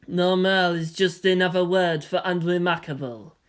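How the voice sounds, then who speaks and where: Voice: mid-range voice, steady tone, clean tone, close to an everyday voice. A performer voicing a cruel, gloating teenage boy villain who sneers and taunts, drunk on power, in a story reading. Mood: giddy. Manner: sneering, taunting, drawn-out menace.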